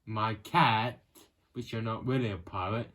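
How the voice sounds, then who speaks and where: A man's voice: fairly low pitch, steady tone, unhurried, a bit bright, voice pushed down. A man playing a bossy, exasperated cat owner who pleads and insists, in improvised comedy. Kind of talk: improvised comedy role-play.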